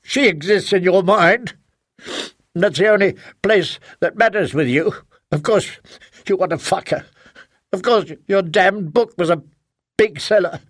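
A bitter wife screams at her husband through tears, her voice pitched high and sharp with anger. A man reads her in an audiobook, raising his voice well above his own. The sound is dry and close. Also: measured pace, long pauses, no room sound. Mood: furious. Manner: shrill, accusing, near screaming. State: crying. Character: bitter furious wife, adult woman. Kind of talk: audiobook character voice.

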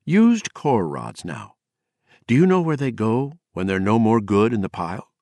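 A man's voice: lowish voice, very animated, a little slow, a little mellow, no room sound, pitched above the performer's own voice. A man voicing a drunk, bitter and eloquent poet who rants mockingly with a rising voice. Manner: mocking, sardonic, raising his voice.

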